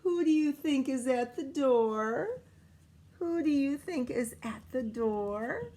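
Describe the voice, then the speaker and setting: Primary teacher, female, slightly high voice, very measured pace; expressive children's read-aloud with coaxing asides. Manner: expressive, sing-song story reading, coaxing questions to young listeners. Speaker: primary school teacher reading aloud to pupils.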